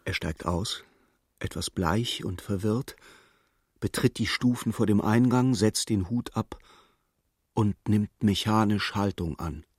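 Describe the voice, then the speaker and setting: An actor as the composed storyteller of a radio play, narrating in a measured, matter-of-fact literary style. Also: male voice, on the low side, breathy edge, no room sound, clean studio sound. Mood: composed, observant. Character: composed male storyteller observing a hapless clerk's misadventures.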